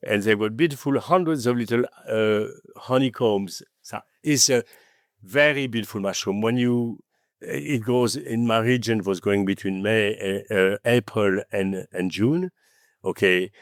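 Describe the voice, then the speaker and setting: A man with a French accent, French his first language, talking as a podcast guest in an animated storytelling manner, often adding "okay?" and "you know". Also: lowish voice, a little slow, dry recording, studio quality. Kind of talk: conversational interview.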